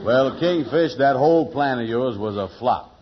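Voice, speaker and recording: An actor playing a blunt, slow-witted pal in a vintage radio sitcom, speaking in folksy nonstandard grammar. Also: male voice, medium pitch, animated, lightly acted. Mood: blunt, skeptical. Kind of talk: 1940s-50s radio sitcom acting. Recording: muffled, noisy vintage radio recording.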